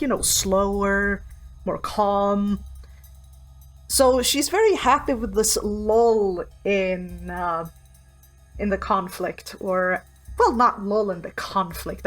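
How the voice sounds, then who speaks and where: A woman telling her character's side of a tabletop roleplaying game in a casual, musing way, pausing to think. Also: mid-range voice. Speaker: tabletop game player, guest.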